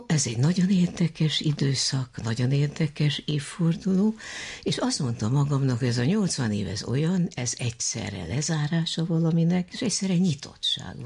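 An elderly woman speaks with a deep, extremely husky voice full of creak. She talks reflectively in an interview, repeating phrases to stress her points. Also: darkish tone. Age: 80s. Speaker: sociologist interviewee.

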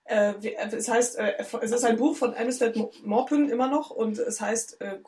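A woman co-hosting a podcast, a bit hoarse, chatting casually and rambling with many fillers and restarts. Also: fairly high pitch, normal speed, darkish tone. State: slightly hoarse. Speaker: podcast co-host. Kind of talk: casual chat.

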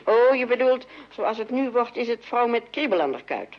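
A woman's voice: fairly high pitch, big pitch swings, moderate pace, muffled recording. A woman playing a middle-aged housewife and mother in a radio play, chattering, fussy and easily distracted. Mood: fidgety, fussing. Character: chatty, fidgety mother and housewife posing for her son.

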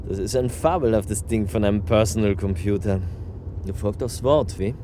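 A man's voice: fairly low pitch, moderate pace, natural voice. A man playing an inquisitive, wry journalist in a radio play, speaking in naturalistic, probing dialogue with an ironic edge. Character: inquisitive, wry male journalist with a sceptical streak. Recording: loud car and traffic noise.

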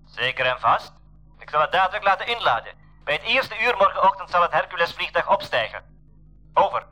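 Casting: headquarters radio operator in a radio play, high, piercingly bright voice, formal and brisk. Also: male voice, big pitch swings, soft background music, heavily acted, poor audio. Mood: formal, obliging. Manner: formal radio procedure speech. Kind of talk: 1980s school radio drama acting.

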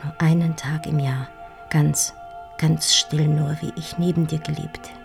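An actress playing a heartbroken, dying woman in an intimate radio drama monologue, speaking in halting, feverish, anguished whispers with repeated words. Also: deep voice, little pitch movement, vocal fry, soft background music. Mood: whispered pleading. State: feverish, weak, grieving. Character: heartbroken dying woman writing a farewell love letter.

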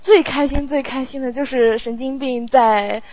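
A woman's voice: high-pitched, steady tone, normal speed, a little mellow, no room sound. A woman working as a sound editor, chatting casually and playfully as she thinks aloud. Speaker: audio drama post-production sound editor. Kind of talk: casual chat.

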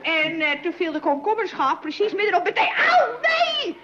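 An actress playing a nervous, folksy housemaid who chatters hesitantly and deferentially, in old radio comedy style. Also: female voice, very high voice, big pitch swings, normal speed. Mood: frightened, pained. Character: nervous, fearful, chattering working-class housemaid. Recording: muffled, noisy old radio recording.

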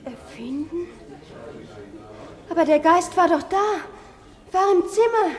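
A woman playing a stubborn, resentful, frightened young girl in a radio play, intense and halting. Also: very high voice, animated, exaggerated voice. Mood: passionate, accusing. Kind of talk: German radio play acting. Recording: reverberant hall.

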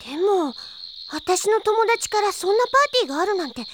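Very high, chirpy little girl. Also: a little fast, a little hiss or hum. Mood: puzzled, hesitant. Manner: childlike, expressive. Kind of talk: Japanese audio drama acting.

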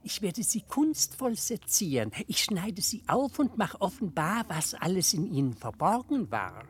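A ghoulish, overly courteous male stranger in an old radio play, with a low voice that swoops widely as it savors every grim detail. Faint tape hiss sits under the voice. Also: big pitch swings, measured pace. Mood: sly, macabre. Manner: smooth, mocking courtesy, relishing grim details. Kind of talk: classic radio drama acting.

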